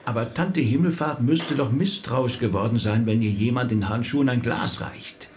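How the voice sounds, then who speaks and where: A man's voice: medium pitch, normal speed, darkish tone, slight background noise, close to an everyday voice. A male actor playing a middle-aged rabbi in a naturalistic radio drama, thoughtful, talkative and a little hesitant as he recounts family history. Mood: attentive, obliging. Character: thoughtful male rabbi recounting his late relative's life.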